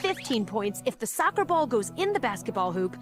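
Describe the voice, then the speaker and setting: A sly twelve-year-old cartoon boy full of schemes, played by a woman, lays out his plans with confident certainty. His voice swoops lively up and down at an unhurried pace. Loud cartoon music plays under him. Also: on the high side. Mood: explaining. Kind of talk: cartoon voice acting.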